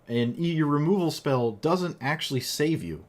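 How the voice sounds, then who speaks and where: A man's voice: fairly high pitch, lively intonation, normal speed. A man who is losing his voice after a long stream, talking casually about a card game deck in a rambling way with lots of fillers and restarts. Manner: rambling, with frequent fillers and self-corrections. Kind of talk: casual gameplay commentary.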